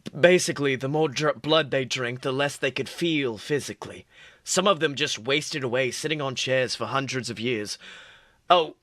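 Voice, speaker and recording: A man's voice: medium pitch, normal speed, fairly bright tone, no echo, natural voice. A man reading aloud the lines of a smug, scheming male demon in a fan fiction, in a dry, matter-of-fact way.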